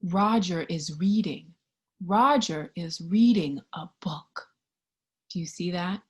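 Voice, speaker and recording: A woman reading a picture book aloud to young children in an expressive, child-directed storytime style. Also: fairly low pitch, slow. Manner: expressive, child-directed, with questions and sound effects.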